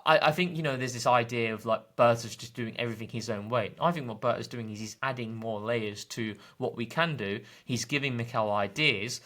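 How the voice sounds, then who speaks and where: Mid-pitched male podcast guest. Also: a little fast, few pauses, fairly bright tone. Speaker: podcast guest, football fan. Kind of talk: casual football discussion.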